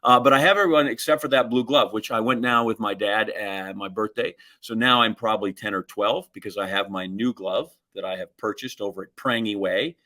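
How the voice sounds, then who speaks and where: A man's voice: lowish voice, steady tone, brisk, hardly any pauses, bright, forceful tone. A middle-aged man. He co-hosts a podcast in a casual way. His talk wanders through folksy stories with many asides. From Wisconsin, United States. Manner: rambling, folksy storytelling. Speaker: podcast co-host. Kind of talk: casual chat with rambling anecdotes.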